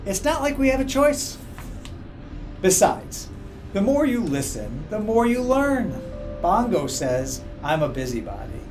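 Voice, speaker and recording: A man reading a children's book aloud in a measured, expressive way. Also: fairly high pitch. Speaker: story reader. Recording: music playing behind. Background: background music.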